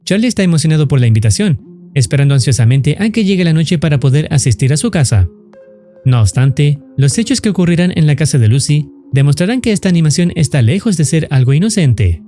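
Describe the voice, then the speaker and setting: A man's voice: on the high side, expressive, a little fast, dark tone, soft background music. A man reading scripted narration for a horror explainer video.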